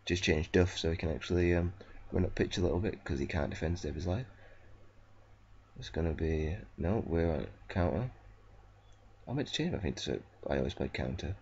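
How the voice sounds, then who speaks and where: A man rambling through casual commentary on a football management game, flat and monotone because his throat feels off, swearing and correcting himself. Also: deep voice, fairly quick, lo-fi sound, slight background noise. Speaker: gameplay commentator. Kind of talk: casual let's-play commentary.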